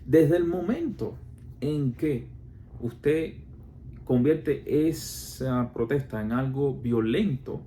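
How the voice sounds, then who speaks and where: A man with a Cuban Spanish accent talks in a relaxed, mellow voice, explaining his views in casual talk. He circles back often, stumbling over fillers, false starts and rhetorical questions. Faint background noise sits under the voice. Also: medium pitch, slow pace. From Cuba. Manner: hesitant, many fillers and restarts, rhetorical questions.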